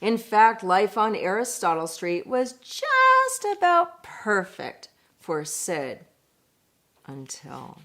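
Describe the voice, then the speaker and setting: A woman tells a picture-book story at a slow pace in a medium-pitched voice. Her delivery is expressive and deliberate. She slips into chatty asides to explain things to her listeners. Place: indoors at home.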